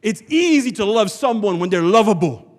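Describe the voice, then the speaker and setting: A man's voice: high voice, animated, moderate pace. A male pastor preaching a church sermon, emphatic and rhetorical, repeating phrases for effect. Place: large church hall, before a congregation. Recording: long hall reverb, church sanctuary PA.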